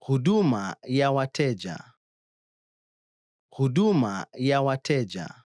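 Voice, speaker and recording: A man demonstrating scripted phrases for a language drill, with careful, deliberate enunciation. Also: mid-range voice, sing-song, darkish tone, no echo, studio quality. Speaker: native-speaker phrase demonstrator.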